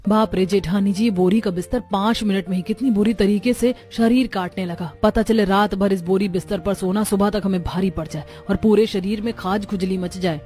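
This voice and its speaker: A woman voices family dialogue for a cartoon story. Her pitch is medium. Soft music runs behind her. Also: moderate pace, few pauses. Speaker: voice of the women of a family.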